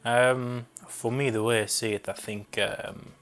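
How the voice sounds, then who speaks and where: A man's voice: medium pitch, steady tone, unhurried, a bit bright, soft background music. A young man from Rwanda who grew up in Belgium, answering interview questions reflectively and searching for words. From born in Rwanda, grew up in Belgium.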